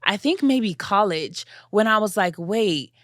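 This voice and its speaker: A young woman born in Nigeria hosts a podcast in a middle-pitched voice, chatty and animated, often affirming her guest. Her voice sits close and dry on the mic. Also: a little slow, no echo. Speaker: podcast host. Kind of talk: casual podcast conversation.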